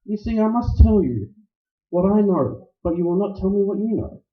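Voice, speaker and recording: A man playing a portly, scheming older crime boss in a radio play, speaking in a florid, formal, deliberate manner. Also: sits high, animated, reverberant, dull audio. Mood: courtly, firm. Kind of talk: radio play acting, noir villain. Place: live radio play performance in a roomy space.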